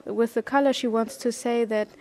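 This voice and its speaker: Thoughtful woman, higher voice. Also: steady tone, moderate pace, mellow. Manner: thoughtful, with fillers "uh, um, like". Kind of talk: interview.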